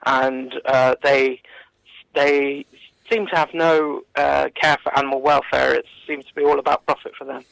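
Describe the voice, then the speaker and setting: Male radio interview guest, heavy vocal fry, little pitch movement, hesitant campaigner delivery. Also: little pitch movement, normal speed, a bit bright, dry recording, poor audio. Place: radio studio.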